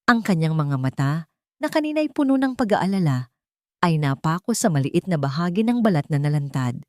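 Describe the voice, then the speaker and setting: A woman's voice: low-pitched, big pitch swings, normal speed, a little mellow, no room sound, studio quality. A woman with a Filipino accent reading a scripted dramatic story as its narrator.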